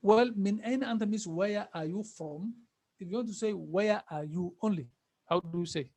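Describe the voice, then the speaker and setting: A high-pitched man teaches a class. He repeats each phrase slowly and keeps asking his students questions. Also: darkish tone. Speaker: Arabic teacher.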